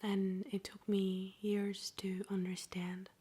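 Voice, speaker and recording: A woman guiding an ASMR meditation in a soft, breathy whisper with a gentle, soothing delivery. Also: medium pitch, monotone. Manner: slow, gentle, soothing delivery with long pauses.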